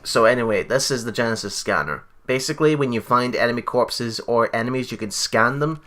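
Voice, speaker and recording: A man's voice: mid-range voice, expressive, a little fast, fairly bright tone. A man co-hosting a let's play, chatting casually and explaining things as he goes, with game sound behind him. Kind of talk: casual gameplay commentary. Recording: clear background noise and game ambience. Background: video game sound.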